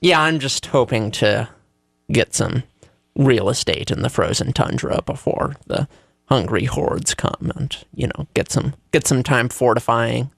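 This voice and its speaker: A man co-hosting a casual talk show, with dry, self-deprecating humor and halting, repetitive phrasing. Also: mid-range voice, gravelly, no echo. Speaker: radio show co-host. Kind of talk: casual banter and commentary.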